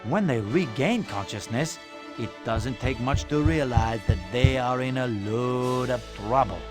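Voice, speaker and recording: A man plays a dramatic narrator telling a cartoon adventure. His mid-range voice is theatrical and mock-grave, reading scripted lines over clearly audible music. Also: mid-range voice, a little slow, close to an everyday voice.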